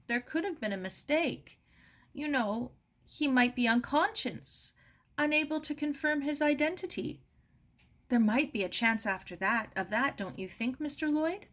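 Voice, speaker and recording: A woman voicing a grieving young woman in a storybook, with a high, thin voice and brittle, polite calm. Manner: polite, restrained, fragile composure. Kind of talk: storybook read-aloud.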